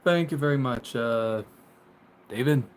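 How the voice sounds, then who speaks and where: A young man in his twenties talks loosely to his viewers, stumbling through fillers and restarts and laughing now and then. His voice sits at an ordinary middle pitch. A faint background hum is in the room with him as his phone on a tripod picks him up from inside a small closet. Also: measured pace. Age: 20s. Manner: rambling, many fillers and restarts, laughs often. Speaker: live streamer. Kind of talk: casual live stream chat, reading viewer comments. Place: small bedroom closet, indoors.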